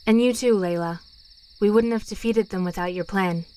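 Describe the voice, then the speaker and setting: A woman playing a loyal, steady young schoolgirl witch, with natural audio drama delivery. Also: mid-range voice, moderate pace, clear, resonant tone, lightly acted. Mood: tender.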